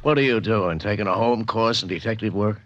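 An actor playing a gruff, wisecracking police lieutenant in a 1940s radio mystery, blunt and sardonic. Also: male voice, on the low side, a little fast, a bit bright, lo-fi sound, soft background music, close to an everyday voice. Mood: sardonic, teasing.

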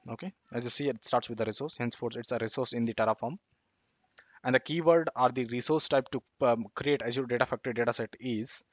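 A man's voice: mid-range voice, fast talker, no room sound. A man explaining code on a screen share, stopping and restarting often, with lots of fillers and "okay, yeah" tags. His voice sits in the middle range and sounds close and dry, with no room around it. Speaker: tech tutorial presenter.

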